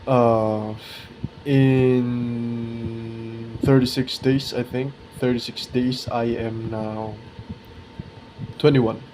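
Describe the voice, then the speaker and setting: A twenty-year-old man talking casually and reflectively to his viewers in a vlog, halting and correcting himself as he searches for words. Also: mid-range voice, flat delivery, warm tone, slight background noise. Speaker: art video creator.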